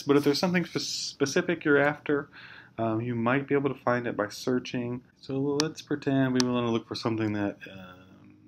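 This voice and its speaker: Man giving a software walkthrough, noisy background. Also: mid-range voice. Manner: hesitant, explaining step by step as he goes. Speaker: software tutorial instructor. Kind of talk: informal screencast walkthrough. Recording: clear background noise, amateur screencast.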